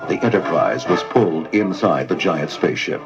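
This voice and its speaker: A man's voice: low voice, animated, moderate pace, vocal fry. A man giving dramatic scripted narration as the narrator of a storybook record. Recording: dull vintage record, loud music.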